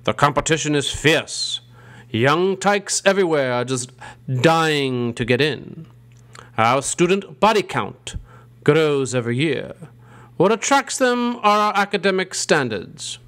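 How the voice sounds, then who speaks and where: A man playing a ghoulish, gleefully punning college dean who hosts a campy horror show with theatrical, drawn-out delivery. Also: fairly high pitch, expressive, a bit bright. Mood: gleefully macabre.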